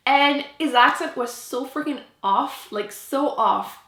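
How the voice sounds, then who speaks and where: A woman's voice: sits high, takes it slowly, a bit bright, reverberant. A French Canadian woman, a native French speaker, chatting casually and emphatically to camera as a book reviewer.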